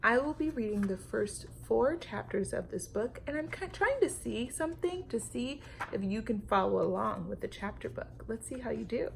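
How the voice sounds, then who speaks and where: A woman reading a story aloud to children like a teacher, expressive and emphatic, with dramatic pauses and questions to her young listeners. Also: on the high side, vocal fry, slight background noise, poor audio.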